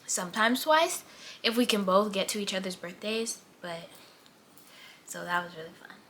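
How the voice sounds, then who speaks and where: An eighteen-year-old woman chatting casually as a vlogger, full of "like" fillers and drifting between lively and hushed. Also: medium pitch, normal speed, a little hiss or hum. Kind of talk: casual chat.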